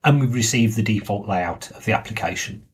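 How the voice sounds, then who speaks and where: Low-voiced man presenting a software tutorial. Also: a little fast, husky. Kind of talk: step-by-step screen walkthrough narration.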